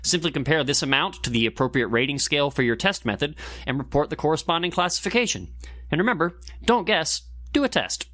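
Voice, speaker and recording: A man's voice: fairly high pitch, expressive, brisk, bright, forceful tone, no echo. Man reading a technical explainer, dry sound. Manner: methodical, instructional. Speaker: segment presenter and lab technician. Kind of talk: scripted explainer narration.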